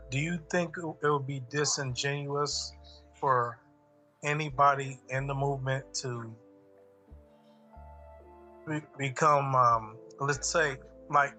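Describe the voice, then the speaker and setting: A man's voice: medium pitch, sharp tone. Hesitant man asking a question. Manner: hesitant, with many pauses and fillers. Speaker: audience member asking a question.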